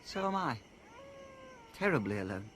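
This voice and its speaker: An awkward, anxious outsider. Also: male voice, medium pitch, big pitch swings, normal speed, a bit bright, a little hiss or hum. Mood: wry. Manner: hesitant, self-conscious, deferential. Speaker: Bernard Marx. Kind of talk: BBC radio drama acting.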